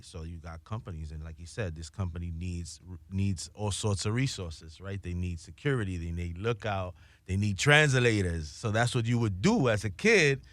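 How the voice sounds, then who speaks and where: A man's voice: deep voice, a bit bright, dry recording. A man, a guest in a studio, who talks emphatically and closes almost every sentence with "right?". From New York, USA. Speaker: guest, business co-founder. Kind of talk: casual conversation.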